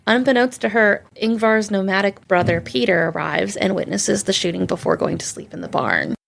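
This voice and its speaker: A woman co-hosts a film podcast in a voice full of gravelly fry. Her pitch sits in the middle for a woman. She moves between informal, wry chat and reading out a summary. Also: lively intonation, moderate pace. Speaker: podcast co-host. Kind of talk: casual film discussion mixed with read plot summary.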